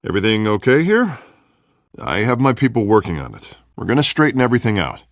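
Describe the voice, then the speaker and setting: An American man in his thirties playing an earnest, excitable district attorney and loyal friend in a video game, emphatic and colloquial. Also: medium pitch, a little fast, dry recording, clean studio sound. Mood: reassuring.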